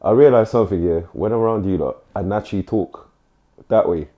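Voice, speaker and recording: A man's voice: fairly low pitch, brisk, lo-fi sound. A young man from Nigeria hosting a casual chat podcast, often stumbling and repeating words as he starts his sentences. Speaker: podcast host.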